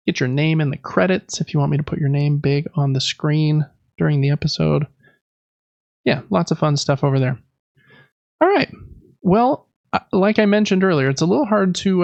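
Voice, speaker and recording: A man hosts a casual podcast with a gravelly, slightly raised voice. He rambles conversationally and pokes fun at himself. The recording is dry and a little muffled. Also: on the high side, dry recording. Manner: rambling, self-deprecating. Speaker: podcast host. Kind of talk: casual chat about games and life.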